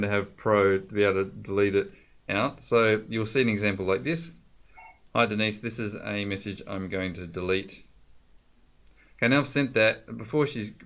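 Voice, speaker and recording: A man presenting an informal software tutorial, explaining things directly to the viewer in a conversational way. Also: on the low side.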